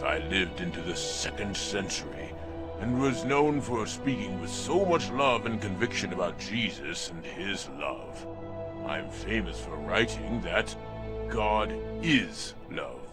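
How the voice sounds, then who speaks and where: A kindly, devout saint from a children's audio drama. His low voice swings expressively as he narrates in a measured, reverent manner, over prominent music. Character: gentle, devout male saint, apostle visiting a child.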